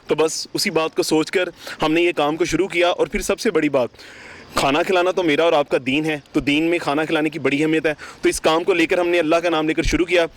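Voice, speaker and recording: A young man with a Pakistani accent answering interview questions as a volunteer organiser, earnest and fluent with pious phrasing. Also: on the high side. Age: young adult. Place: outdoors beside a city park. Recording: outdoor street noise, passing vehicles.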